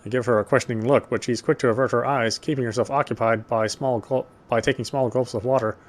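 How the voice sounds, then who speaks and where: A man reading a visual novel's narration aloud in a measured, literary way, with small stumbles over the words. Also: mid-range voice, fairly even intonation. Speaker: let's player reading game text.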